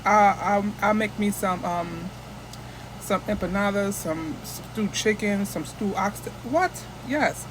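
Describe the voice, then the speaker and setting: A woman's voice: mid-range voice, noisy background. A woman from the United States chatting casually into her phone camera as a vlogger, rambling and restarting often.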